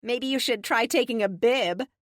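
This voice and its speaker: A woman voicing a wry, teasing, sharp-tongued superheroine in an audiobook. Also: high voice, very animated, normal speed, no room sound, clean studio sound, pitched above the performer's own voice. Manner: wry, mocking.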